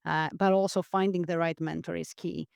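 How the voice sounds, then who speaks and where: Earnest woman guest, smooth dry voice. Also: lowish voice, flat delivery, normal speed, darkish tone, no room sound. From Greece. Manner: earnest, with frequent restarts and repeated words. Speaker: podcast guest. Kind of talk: reflective interview talk.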